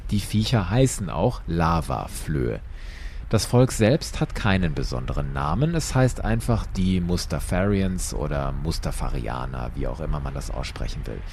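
A man's voice: low voice, darkish tone, slight background noise. A man hosting and narrating a story in a dramatic, scripted style. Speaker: storyteller host.

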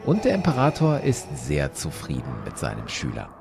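A man narrating a dramatic story as host, expressive and addressing listeners directly. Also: extremely low pitch, normal speed, over music.

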